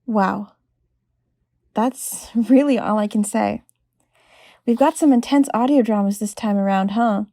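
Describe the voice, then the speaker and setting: A woman's voice: medium pitch, unhurried, vocal fry, long pauses, mellow, dry recording, studio quality. A woman presenter announcing a show and reading out its credits.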